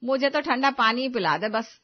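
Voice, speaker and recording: A woman voicing a wry, exasperated but affectionate older mother-in-law in an animated family story, teasing and grumbling. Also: a little high, moderate pace, muffled recording. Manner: teasing, dry-witted grumbling.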